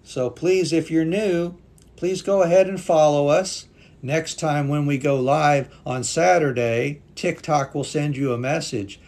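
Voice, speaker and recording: A man's voice: fairly high pitch, fairly even intonation, measured pace, darkish tone. A native English-speaking man teaching English on a live stream, patiently reading viewer comments aloud and thanking them. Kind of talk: live-stream language class chat.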